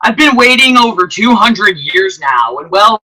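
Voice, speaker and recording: A woman's voice: medium pitch, animated, measured pace, sharp tone, breathy edge, full character voice, low-quality recording. A woman playing an eager, polite, gushing apprentice angel in an old-time radio play. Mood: hopeful, pleading. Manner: gushing, deferential.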